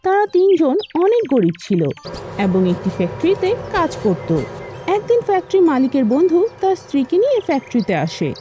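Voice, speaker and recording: Woman storyteller, very high pitch, soft mellow timbre, children's cartoon narration. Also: huge pitch swings, normal speed, soft background music.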